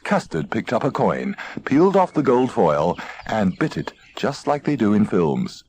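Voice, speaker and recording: A man narrating a children's story in an expressive, playful manner. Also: lowish voice, measured pace. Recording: faint noisy old tape transfer.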